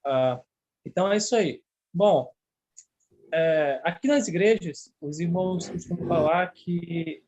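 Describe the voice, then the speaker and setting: A man's voice: high-pitched, darkish tone. A man with a Brazilian accent calling into a video meeting for the first time, asking a question hesitantly with many fillers. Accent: Brazilian Portuguese. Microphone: video call at home.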